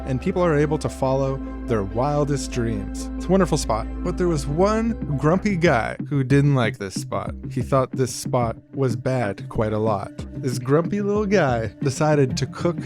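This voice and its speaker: A man's voice: mid-range voice, big pitch swings, a little slow, hardly any pauses, music in the background. A man playing a whimsical storyteller who narrates a utopian fable in a mock storybook style. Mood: playful, grandiose.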